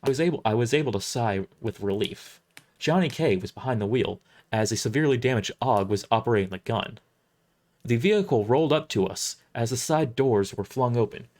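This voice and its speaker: A man reading first-person dramatic narration for an audio drama, somewhat halting, often restarting his lines. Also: medium pitch, darkish tone.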